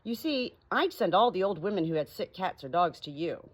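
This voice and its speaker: A woman's voice: fairly low pitch, lively intonation, moderate pace, breathy edge. A woman voicing a folksy, uneducated male meat seller with a scheming, persuasive, colloquial manner for a storybook. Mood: enthusiastic.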